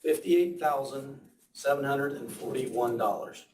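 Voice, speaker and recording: Hoarse man reading bids in an echoey room. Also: medium pitch, a little mellow, slight background noise. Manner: reads figures slowly and repeats them. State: hoarse, losing his voice. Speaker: county department head presenting bids. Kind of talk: public meeting report. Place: county meeting room. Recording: echoey room, mic at a distance.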